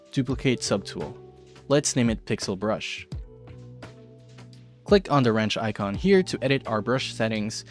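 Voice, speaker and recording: A man hosting an art tutorial video, narrating in a semi-scripted but casual way with playful, tongue-in-cheek asides. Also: medium pitch. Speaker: video show host, art tutorial presenter.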